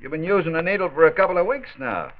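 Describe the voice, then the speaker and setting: A lo-fi 1940s radio drama recording of a callous street dealer. His voice is fairly high with a lively rise and fall. He sounds breezy, tough and slick. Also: quick pace. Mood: cold, callous. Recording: dull lo-fi old radio recording.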